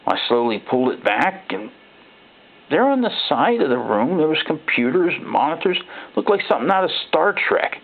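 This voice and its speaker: A man voicing a frightened ordinary guy telling his own horror story, halting and rattled, with a gravelly voice. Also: on the high side, very animated, voice pushed up, full character voice. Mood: incredulous. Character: frightened ordinary man recounting robot replacements. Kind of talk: first-person dramatic horror narration. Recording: thin, phone-like narrow-band sound.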